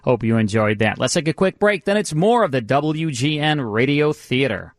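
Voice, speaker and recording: A man's voice: mid-range voice, animated, normal speed, over loud music. A man hosting a radio show with casual, jokey, enthusiastic banter in a studio.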